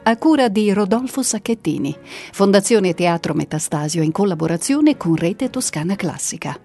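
A woman announcer reads scripted radio credits. Her voice is mid-range and soft-edged in tone. Light music sits behind her. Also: mid-range voice, lively intonation, normal speed.

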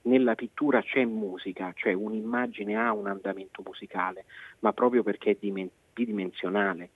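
A man's voice: on the low side, no room sound. A man giving a reflective radio interview, stretching key words for emphasis. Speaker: theatre director, interview guest. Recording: muffled phone-like line, dry.

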